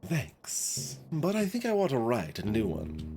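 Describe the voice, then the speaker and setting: A man voicing a wistful cowboy poet from a video game, reciting in a slow, measured manner. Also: mid-range voice, huge pitch swings, mellow, a little hiss or hum. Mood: shy, hopeful.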